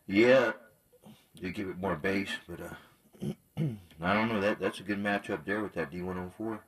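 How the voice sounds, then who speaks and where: Deep voiced guy, laid back, just chatting about radio gear. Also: moderate pace, husky. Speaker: CB radio hobbyist.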